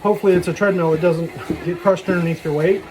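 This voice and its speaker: A man with a rather high-pitched voice talks in a loose, casual vlog style. He wanders between thoughts and slips in self-deprecating jokes. Clear ambient noise, with some vehicle sound, runs behind him. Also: steady tone, fast talker. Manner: self-deprecating, jokey asides. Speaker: vlogger. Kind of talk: casual rambling personal vlog. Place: talking while out walking.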